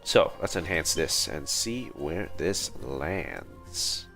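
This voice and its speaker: A man giving casual livestream gameplay commentary, thinking aloud in a halting, muttering way. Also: very deep voice, big pitch swings, creaky, bright, forceful tone, soft background music. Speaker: game livestreamer.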